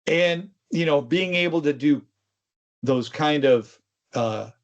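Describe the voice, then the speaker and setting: A man's voice: on the high side, slow pace, highs cut off. A man co-hosting a tech show, explaining in a casual, conversational way with informal asides. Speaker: co-host of a tech discussion show.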